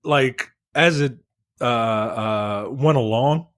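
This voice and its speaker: A man chatting casually as a podcast co-host, talking in a halting, rambling, informal way with some swearing. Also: medium pitch, a bit bright, dry recording, clean studio sound. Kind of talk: casual movie and game news chat.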